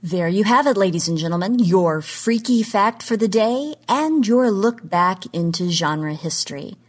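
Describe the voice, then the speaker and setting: A woman's voice: medium pitch, lively intonation, measured pace. A woman presenting a scripted factual history segment in a formal presenter's style.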